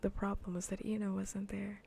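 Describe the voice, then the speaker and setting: A woman livestreamer chatting casually in a soft, breathy near-whisper, rambling bluntly and sardonically with plenty of swearing in an ASMR style. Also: mid-range voice, monotone, normal speed, slight background noise. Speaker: livestreamer hosting an ASMR gaming stream.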